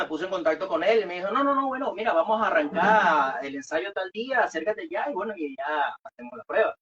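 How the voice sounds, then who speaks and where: An excitable man from Venezuela with a Venezuelan accent, telling stories casually as a band member in a radio interview. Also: fairly high pitch, distant mic, slight background noise. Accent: Venezuelan Spanish. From Caracas, Venezuela. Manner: animated storytelling, excitable. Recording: dull, muffled radio audio.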